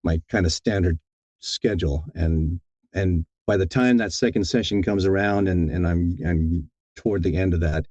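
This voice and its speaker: A man's voice: low-pitched, moderate pace, a little mellow, dry recording, dull audio. A man being interviewed as an audiobook narrator, talking casually and thoughtfully, often repeating words as he feels his way along.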